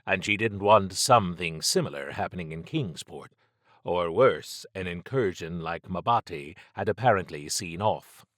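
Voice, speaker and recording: A man reading scripted fiction as an audiobook narrator, in a measured, suspenseful storytelling manner. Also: lowish voice, fairly bright tone, dry recording, clean studio sound.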